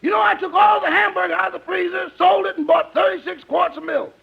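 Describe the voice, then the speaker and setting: An actor playing a cheeky, wisecracking male household servant with a gravelly voice in 1950s radio comedy. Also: very high-pitched, fairly even intonation, fast, exaggerated voice. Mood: cheeky, wry. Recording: muffled old radio recording with hiss.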